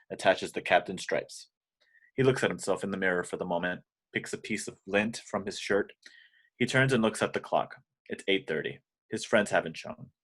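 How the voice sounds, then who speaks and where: A man reading stage directions as scripted narration in a table read. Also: deep voice.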